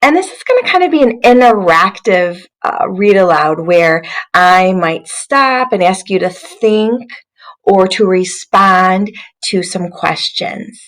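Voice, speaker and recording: Woman, literacy coach, very unhurried pace, mid-range pitch, instructive, interactive read-aloud for children. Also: expressive, fairly bright tone, rough recording. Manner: instructive, asks questions and prompts listeners.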